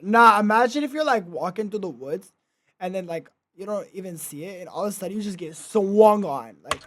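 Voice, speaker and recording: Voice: mid-range voice, moderate pace, dark tone, slight background noise. A streamer giving casual, off-the-cuff reaction commentary on a video.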